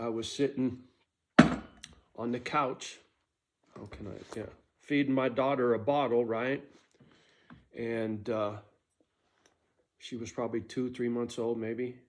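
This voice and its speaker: A man's voice: medium pitch, a little mellow. Blunt, profane middle-aged man telling stories. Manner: blunt, profane, conversational with frequent "right?". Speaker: storyteller telling his own life story. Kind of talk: casual personal storytelling.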